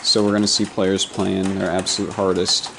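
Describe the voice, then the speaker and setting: A man narrating his own vlog in a relaxed, matter-of-fact way, explaining what is going on. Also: deep voice, normal speed, noisy background.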